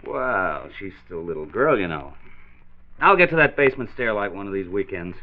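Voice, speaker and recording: A man's voice: low-pitched, big pitch swings, brisk. An actor playing an amiable, easygoing young husband and father in a 1940s radio drama. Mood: placating. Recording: thin, tinny 1940s radio recording.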